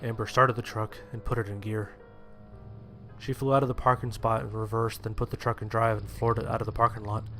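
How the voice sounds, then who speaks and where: A man's voice: lowish voice, little pitch movement, fairly quick, fairly bright tone. A man playing a sheltered, anxious young survivor, narrating his story in the first person in a plain-spoken way. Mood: narrating, tense. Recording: music behind the voice.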